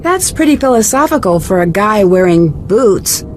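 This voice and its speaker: An actress playing a polite, direct young amateur detective in a video game, with an American accent and an inquisitive manner. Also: female voice, mid-range voice, very animated, moderate pace, a little mellow, slight background noise, lightly acted. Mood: friendly, curious. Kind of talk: video game character dialogue.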